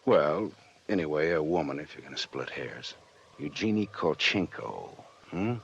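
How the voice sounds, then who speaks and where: Casting: hard-boiled American private eye, very low voice, wry and clipped, on dull old radio drama audio. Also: male voice, animated, unhurried, creaky, heavily acted. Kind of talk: 1940s radio noir acting and narration. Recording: dull, noisy 1940s radio recording.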